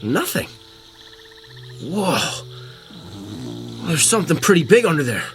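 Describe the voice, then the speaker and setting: A man acts an excitable camper for an audio drama. His voice is fairly high and swings widely in pitch, stammering and skeptical, with music clearly behind him. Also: a little slow. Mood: wary, practical. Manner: stammering, reactive. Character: excitable, skeptical man camping and filming a discovery.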